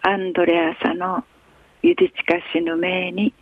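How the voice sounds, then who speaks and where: A woman radio host reading a newspaper article aloud in a slow, measured way, with an Okinawan accent. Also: on the low side, big pitch swings, vocal fry, dry recording. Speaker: radio news reader. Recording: tinny phone-like radio sound.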